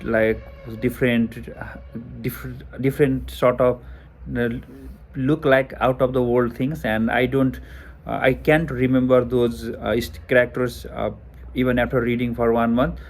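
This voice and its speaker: A man's voice: medium pitch, level tone, measured pace, soft background music. A young man from Nepal with a Nepali accent, answering speaking exam practice questions hesitantly with many fillers and restarts, recorded on a Bluetooth headphone mic. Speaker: test taker. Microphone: headphone mic over Bluetooth to phone.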